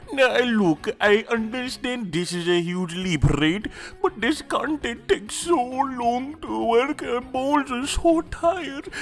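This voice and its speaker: A woman putting on a cheeky anime-skit mascot, high and swinging widely in pitch. The delivery is slow, halting and playful, over faint music. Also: fairly bright tone, full character voice. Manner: halting, playful, tags phrases with "right?". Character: cheeky, rambling comic mascot begging viewers for support. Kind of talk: comic skit membership appeal.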